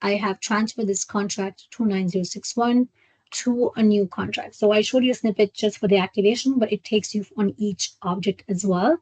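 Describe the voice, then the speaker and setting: A woman trainer walks through software on a live online session. Her pitch is mid-range and she explains step by step, somewhat haltingly. The sound is dry, with very little room in it. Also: fairly even intonation, slightly rough, no echo, poor audio. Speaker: software trainer hosting a webinar. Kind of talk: live screen-share product walkthrough.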